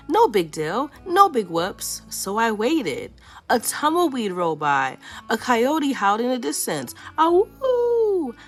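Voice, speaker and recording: A woman reading a children's picture book aloud in a playful, expressive storytelling way. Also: on the high side.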